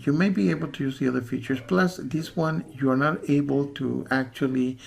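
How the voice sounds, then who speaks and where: A man talking casually as a reviewer, halting and repeating himself for emphasis as he gives a warning. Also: mid-range voice, vocal fry, darkish tone. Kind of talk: casual spoken warning review with screen walkthrough.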